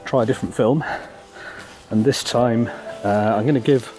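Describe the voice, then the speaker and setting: A man with a croaky voice from a cold, talking casually and hesitantly while walking in a forest. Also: mid-range voice, moderate pace, music in the background. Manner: relaxed, hesitant, many ums. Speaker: photography vlogger. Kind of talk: casual walk-and-talk narration. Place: outdoors in a forest.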